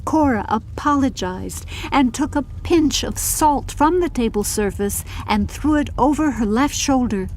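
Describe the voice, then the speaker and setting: A woman's voice: sits high, animated, unhurried, few pauses, darkish tone, a little hiss or hum, low-quality recording. A woman reading scripted audiobook narration of a story.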